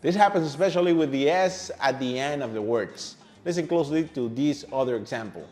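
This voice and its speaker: A man from Venezuela with a Venezuelan Spanish accent, a native Spanish speaker presenting a language lesson in a playful, animated, conversational way. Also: medium pitch, fairly quick, soft background music. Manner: playful and animated, with rhetorical questions and asides to the viewer. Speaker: language teacher, video host. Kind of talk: upbeat, conversational scripted lesson to camera.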